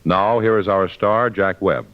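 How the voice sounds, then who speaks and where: A man's voice: low-pitched, a little slow. A man with an American accent announcing and plugging the sponsor in 1950s radio style. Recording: muffled old radio broadcast.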